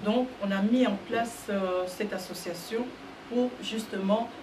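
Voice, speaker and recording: Woman, talk show guest, measured, some fillers, roomy echo, clear background noise. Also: medium pitch. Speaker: association founder, guest. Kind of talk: interview answers. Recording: roomy sound from a distant mic with background noise.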